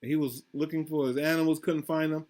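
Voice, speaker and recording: Casting: male principal doing a children's read-aloud, slightly raised pitch, conversational asides, questions to the listeners. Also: fairly even intonation, fast talker, darkish tone. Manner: addresses children directly, asks questions, repeats himself. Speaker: school principal reading aloud.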